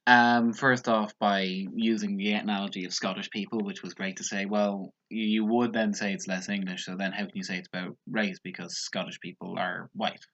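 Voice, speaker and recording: A man's voice: lowish voice, flat delivery, moderate pace, few pauses, a bit bright. A man commenting on a TV clip in a casual, sarcastic and mocking way. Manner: sarcastic, mocking, mimics others. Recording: dull lo-fi sound.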